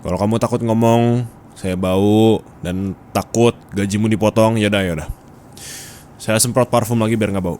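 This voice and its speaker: A man's voice: on the low side, natural voice. A man playing a bossy, nagging office boss in an ASMR roleplay, scolding and teasing bluntly in colloquial speech with a Jakarta Indonesian accent. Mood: exasperated. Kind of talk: intimate ASMR roleplay acting. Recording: steady background ambience noise.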